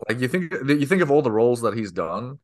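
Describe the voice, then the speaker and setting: A man's voice: mid-range voice, sing-song, quick pace. A man co-hosting a casual movie podcast, wry and analytical. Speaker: podcast co-host. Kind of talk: casual movie-review chat.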